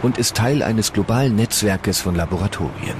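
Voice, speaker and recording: A man giving scripted documentary narration in a measured, solemn way. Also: on the low side, background noise. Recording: music bed under the voice.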